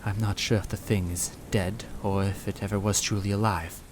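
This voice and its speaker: A man voicing a calm, watchful, stoic strategist in a story, speaking in a measured way. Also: fairly low pitch, noisy background, natural voice. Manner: measured, unflappable.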